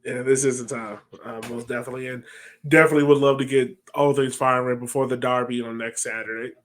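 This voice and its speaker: An American man in his thirties hosts a casual sports podcast from a room at home. He has an easygoing, conversational way of talking and a mid-pitched voice. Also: steady tone, fast, a bit bright. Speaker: podcast host. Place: home room, indoors, on a video call.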